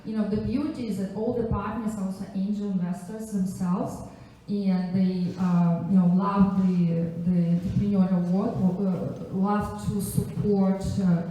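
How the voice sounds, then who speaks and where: A woman with a Russian accent speaks from some distance in a very reverberant room. Her voice is mellow and mid-pitched. She talks informally to an audience as a panelist, halting often and slipping in chatty asides. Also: steady tone. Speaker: panelist, angel investor and economist. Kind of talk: informal self-introduction to a live audience. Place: echoey indoor event venue. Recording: very echoey room, distant microphone.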